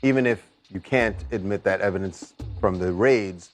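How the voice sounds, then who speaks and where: A man with a fairly low voice comments in an unscripted news discussion. Faint music plays beneath his talk. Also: normal speed.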